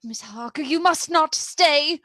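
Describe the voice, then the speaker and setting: A woman's voice: high-pitched, a little slow, a bit bright, no room sound, studio quality. A woman playing an agitated but courteous male asylum patient in a radio play, speaking in a formal, urgent manner. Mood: grave, urgent.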